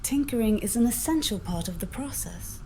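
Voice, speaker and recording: A woman's voice: fairly high pitch, animated, moderate pace, dark tone, slight background noise. A synthetic woman's voice as a helpful AI spaceflight copilot, composed and encouraging, with neatly phrased conversational replies. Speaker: AI copilot voice assistant.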